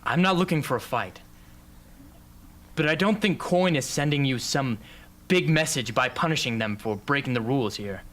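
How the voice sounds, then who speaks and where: A man's voice: mid-range voice, animated, normal speed, fairly bright tone, close to an everyday voice. A man playing a skeptical young hunter in an amateur radio drama, talking in a sarcastic, challenging way. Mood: grudging. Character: skeptical, sardonic young male hunter friend.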